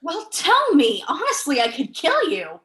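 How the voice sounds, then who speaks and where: Young woman playing a sharp questioning writer lady in a play reading, voice a bit high, sceptical. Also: huge pitch swings, measured pace, exaggerated voice. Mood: exasperated. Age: young adult. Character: brisk, probing, sceptical female writer.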